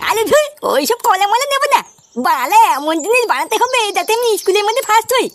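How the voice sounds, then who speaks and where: A woman's voice: very high-pitched, very animated, fast talker, fairly bright tone, a little hiss or hum, heavily acted. A woman voicing a cocky, foul-mouthed young schoolboy in a comic cartoon, brash and boastful, with a West Bengali accent. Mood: impatient.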